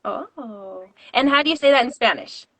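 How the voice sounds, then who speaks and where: A woman's voice: fairly high pitch, animated, moderate pace, a bit bright. A woman hosting a live-streamed interview as a ballet teacher, speaking in an encouraging way and laughing often.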